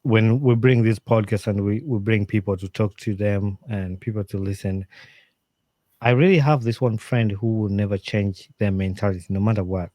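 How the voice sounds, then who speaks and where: A man with a slightly low voice talks earnestly and animatedly as a podcast guest. He restarts often and fills pauses with "um" and "like". The sound is dry and close, with little room in it. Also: fairly even intonation, warm tone, dry recording. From Uganda. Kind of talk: casual interview talk, giving advice.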